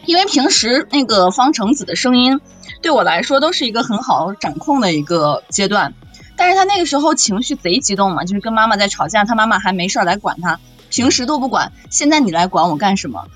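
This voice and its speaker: Animated woman chatting over music. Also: medium pitch, a little fast. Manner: animated, expressive. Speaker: voice actor discussing her audio drama role. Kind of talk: casual panel chat about a radio drama.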